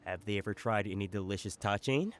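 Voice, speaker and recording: A man's voice: on the low side, fast talker, crowd noise, natural voice. A man voicing a friendly, generous middle-aged merchant who is jovial and laughs easily. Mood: genial. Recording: game dialogue with music behind.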